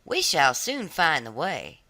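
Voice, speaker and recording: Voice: medium pitch, huge pitch swings, slow pace, pushed and bright, dry recording, heavily acted. A storybook narrator voicing a young boy, a confident and comforting big brother, earnest and reassuring.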